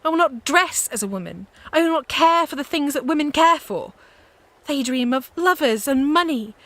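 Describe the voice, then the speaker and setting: A fearless young woman soldier-saint in a radio drama. Her voice is high and bright and swings widely in pitch. She declaims with earnest, fervent conviction. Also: lively intonation, normal speed, no echo. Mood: bold, defiant. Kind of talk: radio play acting.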